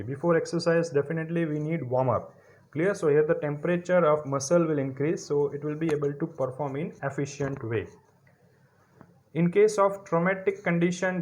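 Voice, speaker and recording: A male lecturer with an Indian English accent, his voice sitting a little high. He teaches physiotherapy students in a recorded lesson, building each point step by step and stopping often to ask whether it is clear. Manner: explains step by step, keeps asking "clear?".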